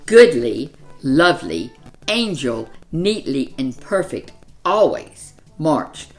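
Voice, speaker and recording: A woman reading a children's picture book aloud as a storyteller, with expressive, deliberate, dramatic phrasing. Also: extremely low pitch, faint music behind.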